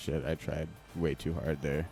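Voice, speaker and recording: Low-voiced male streamer, loose and cursing through live play, soft music underneath. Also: little pitch movement, moderate pace, vocal fry. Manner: casual, swearing, reacting to play. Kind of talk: live gameplay commentary.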